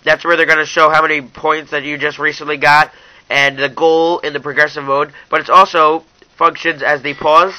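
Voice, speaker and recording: A man's voice: a little high, level tone, normal speed, bright, forceful tone, low-quality recording. A young man narrating a casual, hands-on app review in an informal way, talking straight to his viewers. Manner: informal, addresses viewers as you guys.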